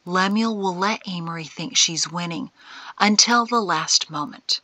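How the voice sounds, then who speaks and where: A woman voicing a calm, wry old mentor in an audiobook, breathy and half-whispered, unhurried and gently chiding. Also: lowish voice, no echo. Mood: calm, reassuring. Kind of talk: audiobook character acting.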